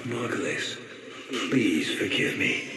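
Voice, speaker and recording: A man's voice: fairly low pitch, expressive, slow pace, recorded from a distance. Theatrical, echoing, imperious nobleman. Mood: anguished pleading. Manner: formal, theatrical. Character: grave, imperious, obsessive male noble. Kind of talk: dramatic game cutscene acting. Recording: echoey room under loud music.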